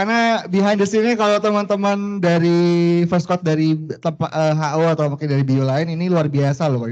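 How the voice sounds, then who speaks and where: A young man hosting a company livestream quiz, excitable and chatty, tagging many sentences with little fillers. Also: high voice. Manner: excitable, chatty, peppers talk with "gitu ya". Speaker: quiz host. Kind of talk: lively corporate livestream hosting.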